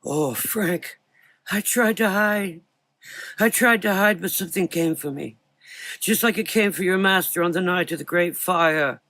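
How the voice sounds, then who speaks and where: A man voicing a frightened, frail elderly doctor with a weak, thin voice and halting speech in a gothic horror audio drama. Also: high voice, sharp tone, dry recording, voice pushed up, full character voice. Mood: fearful. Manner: halting, repeating phrases, exclaiming.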